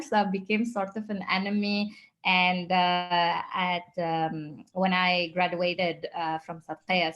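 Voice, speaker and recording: A woman's voice: on the low side, fairly bright tone. A woman in her thirties from Tunisia with a Tunisian accent, an interview guest telling her personal story informally. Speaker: startup founder, interview guest.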